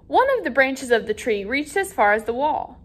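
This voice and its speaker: A woman reading a children's story aloud as a teacher, with expressive, dramatic emphasis for young listeners. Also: sits high, moderate pace. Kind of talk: animated storybook read-aloud.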